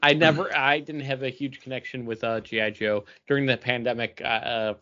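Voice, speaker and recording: A man's voice: mid-range voice, fairly even intonation, brisk, sharp tone. A man co-hosting a podcast in casual chat, with a wry, noncommittal way of talking. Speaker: podcast co-host. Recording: dull, lo-fi podcast audio.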